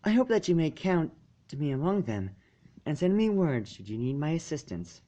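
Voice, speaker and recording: A man voicing an ancient, kindly pony princess who speaks in a formal, measured and gently encouraging way, as in a storybook. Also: on the high side, sing-song, highs cut off. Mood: gentle, encouraging.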